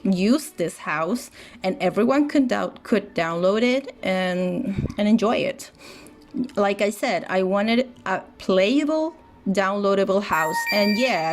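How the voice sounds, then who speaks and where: A young woman whose first language is Spanish, chatting casually as a gaming YouTuber with frequent restarts and filler words. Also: mid-range voice. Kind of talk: casual voice-over chat. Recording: faint music underneath.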